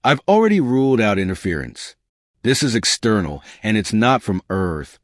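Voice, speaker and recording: A determined female radio astronomer with a steady, urgent delivery, put on by a male narrator. Her lines move across a wide span of pitch, and the dry, close recording carries no room sound. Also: normal speed, no room sound.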